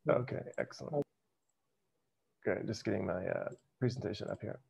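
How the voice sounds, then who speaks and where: A middle-aged American man giving a casual webinar presentation over a video call, relaxed and full of ums. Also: on the low side, normal speed, vocal fry, slightly rough. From United States. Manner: relaxed, many ums, friendly. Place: home office, video call. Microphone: headset.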